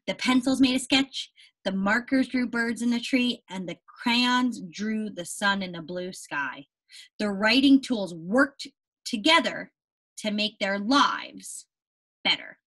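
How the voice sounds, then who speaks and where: A young woman teacher reading aloud to young children in an expressive, deliberate way and putting questions to them. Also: medium pitch, low-quality recording. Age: young adult. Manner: animated, deliberate storybook reading with questions to the listeners.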